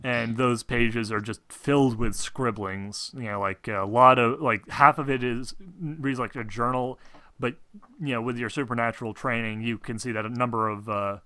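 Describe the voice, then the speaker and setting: A man narrating a tabletop game as game master in a casual, halting, hedging way. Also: mid-range voice, steady tone, bright, forceful tone, no echo.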